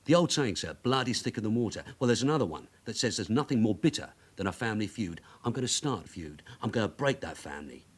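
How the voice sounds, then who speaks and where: A seasoned police sergeant in a radio crime drama. He talks quickly with an animated, shifting pitch, persuasive and wily, always keeping control. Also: husky. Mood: urgent, determined. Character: shrewd veteran London detective sergeant, wily and insistent.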